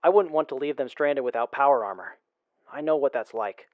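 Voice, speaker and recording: A man's voice: medium pitch, fairly quick, no room sound, narrow, tinny audio, close to an everyday voice. A man playing a sincere, principled wasteland traveler in an audio drama, speaking a little hesitantly. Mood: earnest.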